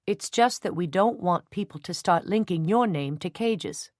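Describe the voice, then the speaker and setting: A woman's voice: fairly low pitch, moderate pace, a little mellow, no room sound, studio quality, close to an everyday voice. A woman voicing an uneasy, diplomatic older father who speaks in a mild, placating way.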